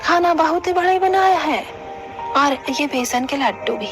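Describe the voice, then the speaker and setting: A woman's voice: sits high, lively intonation, unhurried, over music. Woman acting a gossipy, judgmental cartoon auntie. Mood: condescending. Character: gossipy, critical middle-aged auntie. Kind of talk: Hindi cartoon family drama dialogue.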